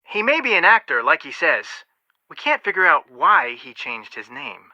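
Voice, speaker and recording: A man's voice: a little high, very animated, moderate pace, sharp tone, no echo, lo-fi sound, exaggerated voice. An actor voicing a level-headed, protective young male amateur detective in a video game, speaking in an earnest, matter-of-fact way with dry wit. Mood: concerned. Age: young adult.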